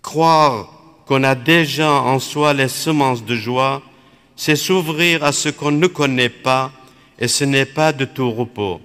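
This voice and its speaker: Slow, reflective priest in a hall. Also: male voice, medium pitch, a bit bright, clear, resonant tone. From Iraq. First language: Aramaic. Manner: measured, contemplative, phrase by phrase. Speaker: Dominican priest. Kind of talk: spiritual reflection.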